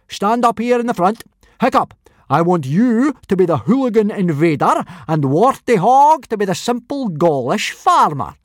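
Roaring, blustering Viking teacher. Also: male voice, high-pitched, dry recording, clean studio sound, voice pushed up. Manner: roaring, bellowing, commanding. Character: huge hairy gruff Viking teacher, blustering and loud.

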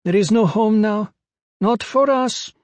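A man puts on a high, feminine voice for a pygmy woman who leads exiles in a fantasy tale. She speaks formally and with stately gravity. The sound is dry, with no room around it. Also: unhurried, a little mellow, dry recording. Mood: grieving, vengeful. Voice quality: high-pitched.

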